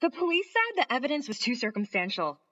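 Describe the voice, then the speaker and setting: A naive, adoring young stage ingenue in a radio play. Her voice is very high and sharp, with lively swoops. The sound is slightly dull. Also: fast talker, heavily acted. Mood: puzzled, sweet. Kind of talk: old-time radio play acting.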